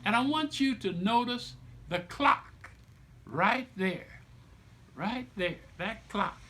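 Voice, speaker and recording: A middle-aged man reading a book aloud like a storyteller, with emphatic, deliberate phrasing and explanatory asides to listeners. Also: sits high, expressive, bright, forceful tone. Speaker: author reading own children's book aloud.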